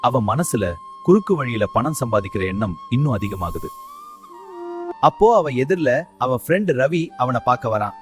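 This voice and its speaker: A man's voice: medium pitch, sing-song, moderate pace, music in the background. A man reading a scripted moral story as narrator.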